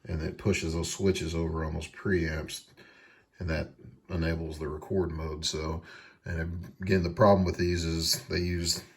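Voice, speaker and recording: A man giving a casual hands-on repair tutorial, explaining things in a halting, hesitant way. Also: a bass voice, level tone. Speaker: repair video host.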